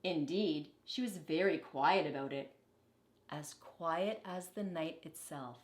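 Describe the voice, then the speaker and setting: Slow-paced woman reading aloud, echoey room. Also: low voice. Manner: expressive, deliberate storytelling for young listeners. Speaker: teacher reading a picture book aloud to children. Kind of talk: children's storybook read-aloud with brief teaching asides.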